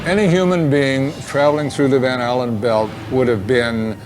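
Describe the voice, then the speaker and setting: A man's voice: medium pitch, fairly even intonation, normal speed. A man explaining something spontaneously as a documentary interviewee. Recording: faint music behind the voice. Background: faint music.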